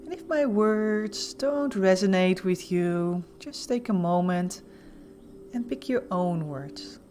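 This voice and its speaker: A woman leading a guided meditation as an instructor, giving gentle, soothing, softly spoken instructions with pauses. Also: mid-range voice, expressive, soft background music.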